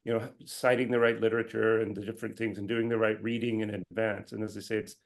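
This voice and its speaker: A man's voice: lowish voice, level tone, fast talker, creaky. A man answering comments as a presenter on a video call, speaking in an informal, conversational academic style with restarts and quoted asides.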